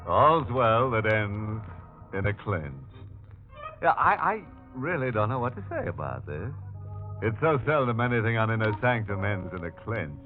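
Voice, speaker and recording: Low, sardonic radio horror host. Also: male voice, big pitch swings, normal speed. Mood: wry, amused. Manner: wry, mock-folksy, punning. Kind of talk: 1940s radio horror hosting. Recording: very muffled old radio, music behind.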